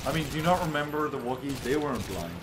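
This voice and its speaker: A man in casual co-op gaming banter, ranting and joking with frequent laughs. His voice sits at an ordinary middle pitch. A steady hiss of noise runs under his headset mic. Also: fast talker. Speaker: gaming channel co-host.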